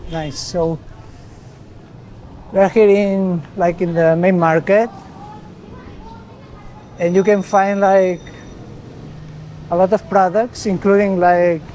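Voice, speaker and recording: Guy with a pretty high voice, vlogging in so-so second-language english, lots of restarts, walking outside with some traffic noise. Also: fairly even intonation, a little mellow, low-quality recording. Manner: halting, with many restarts and self-corrections. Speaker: travel vlogger. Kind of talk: casual walk-and-talk vlog. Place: outdoors in a small town's streets and market.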